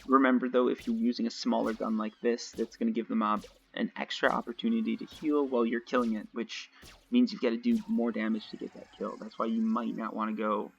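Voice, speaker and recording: A young man casually commentating his gameplay nonstop, his voice a little raspy from long talking. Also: mid-range voice, little pitch movement, fairly quick, a bit bright, a little hiss or hum. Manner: chatty, rambling, nonstop talk with fillers. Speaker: let's play host. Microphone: home desk mic. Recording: dull lo-fi home mic.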